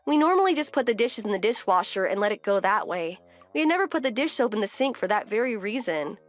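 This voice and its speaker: A woman's voice: medium pitch, very animated, quick pace, soft background music, close to an everyday voice. A woman playing a composed, wry wife who narrates her domestic story in a scripted manga dub. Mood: matter-of-fact.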